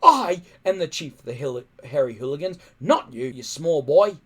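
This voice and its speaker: A man voicing a bad-tempered, domineering Viking chief in a storybook, loud, bellowing and blunt. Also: on the high side, lively intonation, normal speed. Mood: angry, impatient.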